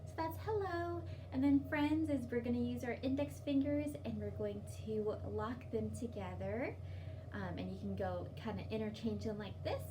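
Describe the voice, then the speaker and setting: A woman's voice: fairly high pitch, moderate pace. A woman hosting a children's library story time, speaking in a simple, encouraging, sing-song way to young children. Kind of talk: children's story time with rhymes, signing and read-aloud. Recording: distant mic, noticeable background noise.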